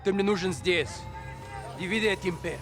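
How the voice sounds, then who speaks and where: A man's voice: high voice, a little fast, loud music behind the voice. An actor voicing a cold, commanding male terrorist leader in a Russian-language video game cutscene.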